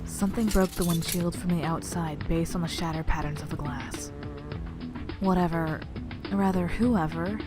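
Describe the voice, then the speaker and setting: A woman playing a dry, sharp-tongued young investigator, blunt and deadpan, in an audio drama. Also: on the low side, moderate pace, music in the background, lightly acted. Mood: matter-of-fact. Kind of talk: scripted audio drama dialogue.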